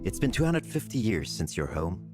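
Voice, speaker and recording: A man's voice: low-pitched, sing-song, moderate pace. A man, a game director, narrating a scripted promotional presentation. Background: loud music.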